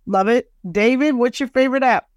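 A woman's voice: a little high, fairly quick, no room sound, studio quality. A woman hosting a podcast, moderating a panel in a conversational way. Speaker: podcast host.

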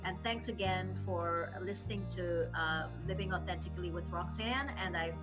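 A woman's voice: lowish voice, normal speed, over loud music, poor audio. A woman in her fifties hosting an interview show, speaking in a friendly way with many hesitations. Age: 50s. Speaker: host.

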